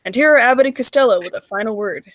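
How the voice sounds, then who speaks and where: A woman's voice: a little high, rapid-fire, no room sound, close to an everyday voice. A woman playing a polished, upbeat 1940s radio announcer reading scripted sponsor messages. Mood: polished, promotional.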